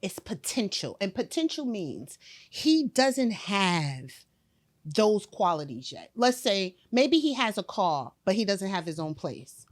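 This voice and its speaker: A woman in her late forties hosting a podcast in casual chat, blunt and animated, acting out quotes as she tells stories. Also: mid-range voice, slow pace. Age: 40s. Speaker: podcast host.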